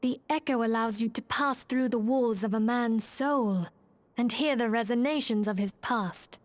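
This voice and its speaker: A woman playing a poised, gracious leader of a secret order in a fantasy game, speaking in calm, formal, measured phrases. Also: fairly high pitch, dry recording, natural voice. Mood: solemn, explaining. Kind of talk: scripted fantasy game acting.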